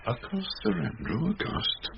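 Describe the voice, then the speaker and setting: Boozy older rogue knight, huge vocal swoops, radio Shakespeare, hissy recording. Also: male voice, a little high, wildly swooping pitch, unhurried, gravelly, exaggerated voice. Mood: tipsy, jovial. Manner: roguish, blustering, swooping exclamations and chuckles. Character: boisterous drunken older knight, jovial scheming uncle. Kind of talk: BBC radio Shakespeare comedy acting. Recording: dull, noisy, clicky radio broadcast copy.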